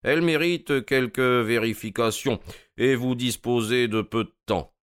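Un mec qui joue un vieux détective de livre audio, suave et moqueur, super poli, voix bien claire. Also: male voice, mid-range voice, a bit bright, clean tone, dry recording, studio quality, pitched above the performer's own voice, lightly acted. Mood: politely triumphant. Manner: amused, courteous, drawn-out mocking delivery. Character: suave, wry older English detective, gloating politely.

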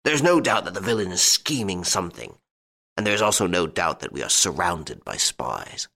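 A man's voice: low-pitched, very animated, moderate pace, vocal fry, bright, forceful tone, full character voice. A man voicing an anxious, crabbed and proud old duke in a storybook reading. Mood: fearful, brooding. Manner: anxious, indignant.

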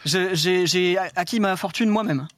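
A man's voice: sits high, measured pace, a bit bright, no echo. A man playing a guessing game, firing off one short yes-or-no question after another. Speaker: game show contestant.

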